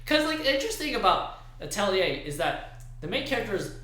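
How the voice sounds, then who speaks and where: A man with a bright voice co-hosts a casual geeky talk show, explaining things in an informal, halting way. His recording is heavily echoey, with a faint hum underneath. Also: high voice, big pitch swings. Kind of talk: casual chat about video games and anime.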